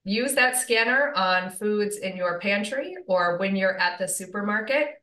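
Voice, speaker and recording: A woman from Michigan, a registered dietitian, explaining cheerfully and clearly as a guest in an interview. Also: medium pitch, measured pace, bright, forceful tone, echoey room. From Michigan, USA. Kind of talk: casual interview chat.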